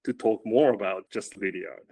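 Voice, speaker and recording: A man with a loud voice and a somewhat low pitch talks about coaching in a relaxed interview. He often restarts his sentences, repeating the first words before he gets going. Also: breathy edge. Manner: halting, repeats words when starting sentences. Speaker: running coach. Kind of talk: casual interview conversation.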